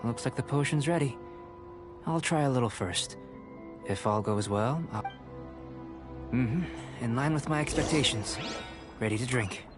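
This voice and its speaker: A man voicing a calm, cerebral young alchemist scholar in a video game, measured, formal and coolly detached. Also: mid-range voice, lightly acted. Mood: composed. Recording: music behind the voice.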